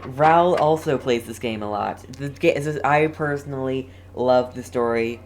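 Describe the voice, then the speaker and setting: A video game streamer giving casual live commentary and chatting with viewers, halting and often repeating words. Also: mid-range voice, a little hiss or hum.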